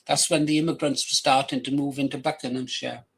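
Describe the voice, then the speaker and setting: A man's voice: medium pitch, little pitch movement, a little fast, vocal fry, slightly rough. An older man with a Welsh accent, hosting his own channel in a blunt, rambling casual monologue. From England, raised in Wales from age ten. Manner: blunt, crude, rambling with restarts. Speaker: channel host.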